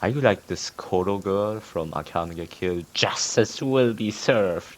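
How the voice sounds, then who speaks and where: A man's voice: fairly low pitch, unhurried, a little hiss or hum. A man streaming a video game, commenting casually and offhand, joking and musing aloud as he plays. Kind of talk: casual live gameplay commentary. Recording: game music behind the voice. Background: video game music and sounds.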